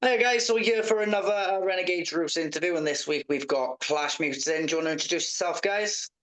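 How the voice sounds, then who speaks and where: A man's voice: fairly high pitch, fast talker, a bit bright. A man hosting a casual band interview as the interviewer.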